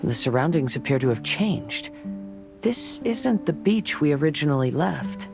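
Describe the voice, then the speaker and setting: A composed young woman in an anime game. Her voice is very low and gravelly with fry. She is thoughtful, formal and restrained, over clear background music. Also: expressive, unhurried, heavily acted. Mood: pensive. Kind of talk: anime-style video game voice acting.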